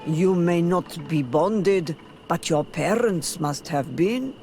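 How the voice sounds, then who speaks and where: A frank young tribesman in a fantasy game, speaking in a high voice for a man. Music plays clearly behind him. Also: slow, darkish tone. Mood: matter-of-fact. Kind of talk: video game dialogue acting.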